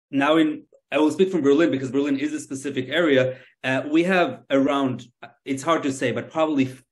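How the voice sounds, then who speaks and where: A man from Israel with an Israeli accent, speaking as a panelist in a webinar discussion, talking conversationally with many fillers and restarts. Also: mid-range voice.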